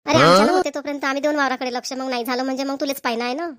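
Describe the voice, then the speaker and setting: A woman plays an affectionate cartoon village woman with a high voice and a rural Maharashtrian accent. She talks in a homely, chatty way, full of fondness for her family. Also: fairly even intonation, very fast, a bit bright. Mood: fond, chatty. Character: affectionate village women, doting grandmother and dutiful daughter-in-law. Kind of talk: Marathi family cartoon voice acting.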